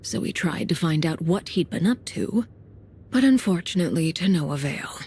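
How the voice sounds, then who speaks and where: Guarded woman of authority, measured, serious, lower register, game story cutscene. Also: expressive, darkish tone, breathy edge, exaggerated voice. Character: composed, guarded, authoritative female military commander. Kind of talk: video game voice acting.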